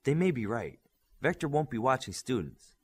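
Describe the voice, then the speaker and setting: A man voicing a composed, forceful female superhero in an audiobook, measured and authoritative. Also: mid-range voice, sing-song, husky.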